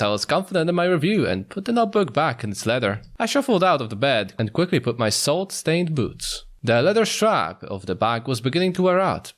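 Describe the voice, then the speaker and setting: Guy streaming a visual novel readthrough, very expressive, trips on words, bit of music behind him. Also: mid-range voice. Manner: expressive, stumbles over words. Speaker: streamer, narrator. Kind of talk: reading visual novel narration aloud.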